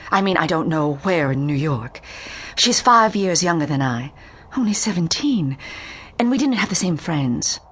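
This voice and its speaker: An actress playing a timid, refined young woman client in a detective audio drama, speaking in a halting, pleading manner. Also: sits low, very animated, a bit bright, husky, full character voice. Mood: timid, hesitant.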